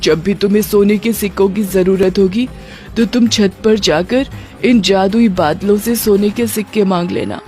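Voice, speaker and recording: A woman's voice: medium pitch, normal speed, a little mellow, faint music behind, natural voice. A woman voicing an earnest, pleading young witch mother who longs for her child, in a storybook tale. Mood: grateful, tender.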